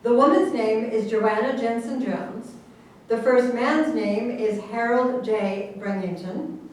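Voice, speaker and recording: A woman's voice: fairly high pitch, takes it slowly. A woman reading scripted stage directions at a staged play reading. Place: live staged reading in an echoey room. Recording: echoey room, distant mic, faint noise.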